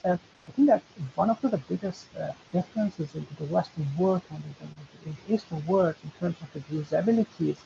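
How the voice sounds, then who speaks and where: A man speaks as a professor on a webinar panel. His voice is gravelly and mellow, and his talk is halting and full of fillers and restarts. The video call audio is dull, with a faint hiss behind it. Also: a little high. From Turkey.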